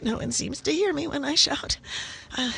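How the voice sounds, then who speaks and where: A woman's voice: high voice, vocal fry, sharp tone, exaggerated voice. A woman playing a young bride trapped alive, pleading in anguish in a 1930s-style radio horror drama. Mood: desperate. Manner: slow, anguished, tearful pleading.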